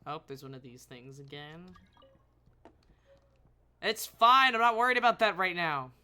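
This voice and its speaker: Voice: mid-range voice, expressive, measured pace, bright, forceful tone, clear, resonant tone. A game streamer giving casual live commentary, talking to themselves in a playful, sweary way.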